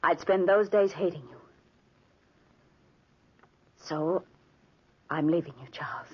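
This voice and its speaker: An actress playing a grieving, resolute woman torn between love and distrust, with deliberate melodrama in a 1940s radio play. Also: lowish voice, husky. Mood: sorrowful, resolute. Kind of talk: 1940s radio drama acting. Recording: muffled old 1940s radio recording.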